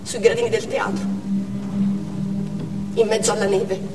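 An actress playing an anguished, urgent woman doctor in a dramatic stage monologue, speaking intensely and deliberately in short phrases. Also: medium pitch, little pitch movement, loud music behind the voice, close to an everyday voice. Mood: grave. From Armenia (the character). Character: Armenian woman doctor recounting a theatre siege, anguished, urgent. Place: indoor theatre stage. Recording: echoey theatre hall, distant mic, faint noise.

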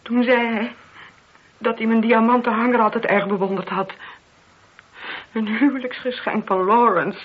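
An actress playing an anguished married woman in a radio play, speaking in a husky, halting, confessional way. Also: on the high side, fairly even intonation. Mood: subdued, ashamed. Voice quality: very husky, breathy. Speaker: voice actor. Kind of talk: Dutch radio play acting. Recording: dull, muffled old radio recording.

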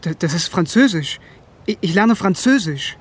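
An actor playing a bookish, quietly stubborn young conscript soldier who tells his own story in a radio drama. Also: sits high, expressive, moderate pace, husky. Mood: nervous, dutiful. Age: young adult. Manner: wry and reflective, nervous before officers. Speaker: narrator and lead character. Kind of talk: first-person radio drama narration and dialogue. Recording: music under the voice. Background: music.

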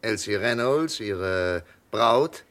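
An actor in a German radio crime play, playing a stern interrogator who questions a murder suspect with emphasis. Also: male voice, lowish voice, lively intonation, very slow, fairly bright tone, exaggerated voice. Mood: stern, probing. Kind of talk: mid-century German radio crime drama acting.

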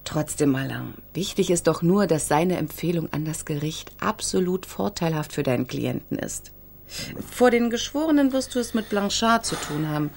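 Loyal female confidante, slightly low voice, earnest, emphatic, indignant, radio crime drama. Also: expressive, normal speed, gravelly, darkish tone, a little hiss or hum. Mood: reassuring, earnest.

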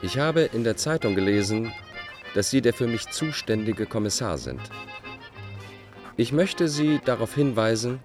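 A man's voice: mid-range voice, very animated, a little slow, over music. An actor playing a bitter, self-justifying Dutch fugitive in a radio drama, setting out his letters in a formal, pedantic way. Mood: coolly formal, mocking. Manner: formal, pedantic, enumerating point by point. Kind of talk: radio drama monologue, letters read aloud.

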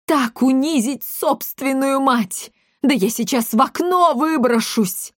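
A woman's voice: mid-range voice, expressive, normal speed, no echo, clean studio sound, lightly acted. A woman voicing a tiny old mother in a storybook, domineering, scolding and theatrically outraged. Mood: shrill outrage.

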